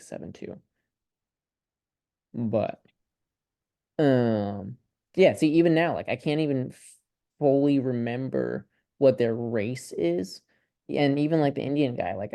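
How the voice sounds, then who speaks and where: A young man from Ukraine chatting casually on a video call in a student discussion, thinking aloud in a tentative, halting, rambling way. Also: medium pitch, gravelly, dark tone, dry recording.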